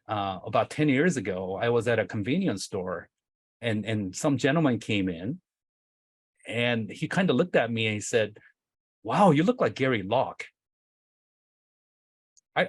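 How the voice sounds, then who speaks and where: A man's voice: medium pitch, husky, dry recording, clean studio sound. A middle-aged man, a company executive, speaking reflectively on a video call, often hedging and asking "right?". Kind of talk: casual panel discussion.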